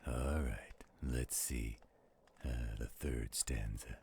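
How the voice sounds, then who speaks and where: A man playing a gruff but gentle, fatherly werewolf in an intimate audio roleplay, with a deep, gravelly, husky voice and an unhurried delivery. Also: steady tone, slight background noise, full character voice. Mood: gentle, reassuring.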